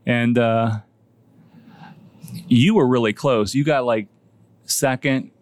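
A man's voice: mid-range voice, slow. A man, a homebrewer guest chatting casually on a podcast, rambling and self-deprecating with many restarts.

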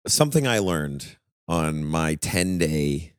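Very deep-voiced man, lively and profane. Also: slow pace, smooth, dry recording, studio quality. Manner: animated, swears freely, laughs at himself. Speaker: podcast co-host and touring musician. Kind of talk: casual chat.

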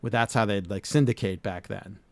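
A man's voice: on the low side, brisk, creaky, a little mellow, no room sound. A middle-aged man hosting a casual podcast chat, reading facts aloud and swearing casually. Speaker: podcast host.

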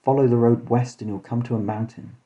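A dark-toned, leering barkeep, acted out by a man for a spoof gothic story. He speaks in grand, old-fashioned warnings, as if every direction were a dire omen. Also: mid-range voice, steady tone, a little fast, muffled recording, close to an everyday voice. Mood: leering, ominous. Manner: archaic, portentous phrasing.

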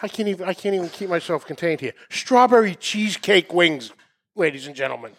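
A man's voice: sits high, lively intonation, fairly quick. A man with a Boston accent hosting a show in a casual, enthusiastic conversational style. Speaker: show host.

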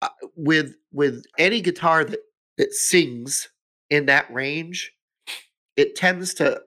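A man's voice: on the high side, takes it slowly, bright, forceful tone. A man hosting a casual podcast chat, thinking aloud as he searches for words. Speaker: podcast host.

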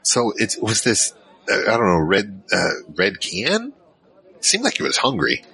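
A man's voice: lowish voice, huge pitch swings, unhurried, creaky, bright, forceful tone, breathy edge, full character voice, rough recording. A man playing a curious, hesitant adventurer who recounts his story in a conversational first person for an audio drama. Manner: conversational, hesitant, searching for words. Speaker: narrator and lead character. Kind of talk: in-character first-person scripted narration.

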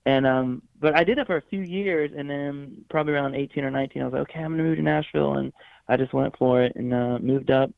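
A man's voice: mid-range voice, fast, gravelly, no room sound. A man who grew up in Florida, a songwriter and producer, chatting casually as an interview guest and rambling with many restarts and easy laughs. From Florida, USA. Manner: rambling, self-correcting, laughs easily. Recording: dry, phone-like line.

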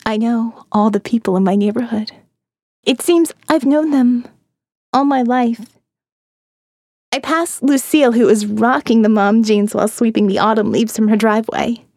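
Woman narrating a dark dreamy novel. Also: normal speed, no room sound, clean studio sound. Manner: dreamy, eerie, drops to hushed low tones. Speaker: audiobook narrator. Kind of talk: scripted first-person narration.

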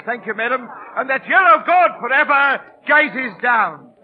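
An actor playing a weary but cheerful street busker who recites pompously in an old-time radio drama. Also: male voice, very high voice, expressive, a little slow. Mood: grandiose. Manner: theatrical, declamatory, pompous. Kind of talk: 1930s-40s radio acting. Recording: muffled, noisy old radio broadcast.

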